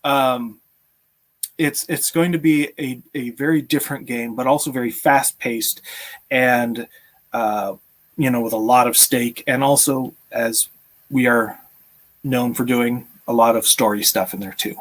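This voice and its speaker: A man's voice: medium pitch, fairly even intonation, unhurried. A man in his thirties hosting a live stream, chatting casually and answering viewer questions in a friendly, appreciative way. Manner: friendly, appreciative, chuckles often. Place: small room at home, indoors.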